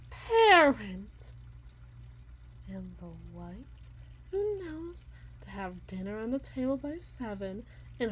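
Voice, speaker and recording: Slow, bitter, unhinged wife, acted by a woman. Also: a little high, slight background noise, rough recording. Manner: slow, theatrical, drifting between whispers and intense outbursts. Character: bitter, unhinged yandere wife and resentful mother, anguished. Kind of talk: ASMR roleplay, dramatic monologue.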